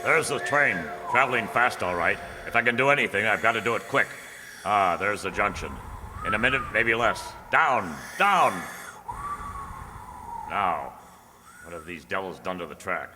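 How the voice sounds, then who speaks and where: Guy doing low voiced radio superhero, sure of himself, commanding, echoey n noisy recording. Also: lively intonation, normal speed, lightly acted. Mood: brisk, assured. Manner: self-assured, narrating own actions aloud. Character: confident, heroic male caped superhero, calm and commanding. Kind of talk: old-time radio drama acting. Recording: reverberant recording with clear background noise.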